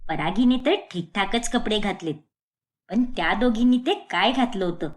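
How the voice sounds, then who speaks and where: A woman voicing a snobbish, rich young woman in an animated story, lecturing others in a condescending, scornful way. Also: mid-range voice, normal speed, voice pushed down, lightly acted. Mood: disdainful. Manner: condescending, lecturing, fashion-obsessed.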